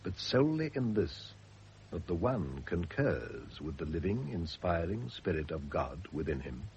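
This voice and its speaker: An actor playing a serene, bookish man of quiet conviction in a radio drama, earnest and deliberate. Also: sits low, very animated, exaggerated voice. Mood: earnest, wondering. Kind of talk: 1970s British radio drama acting. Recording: dull, noisy old radio drama recording.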